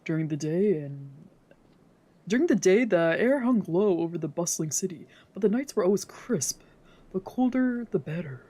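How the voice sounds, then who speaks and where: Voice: medium pitch, expressive, moderate pace, mellow. A streamer reading a visual novel's narration aloud, occasionally stumbling over a word. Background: background music from a video game.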